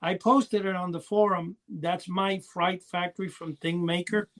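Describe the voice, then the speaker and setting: A man with a noticeably high voice talks casually as a podcast co-host. He rambles chattily, piling up small details as he reminisces. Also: fairly even intonation, unhurried, fairly bright tone. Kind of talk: casual rambling reminiscence about old toys.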